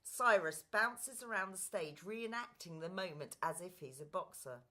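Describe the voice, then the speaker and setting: A woman's voice: lowish voice, moderate pace, bright, forceful tone. A woman narrating scripted stage directions for a radio play.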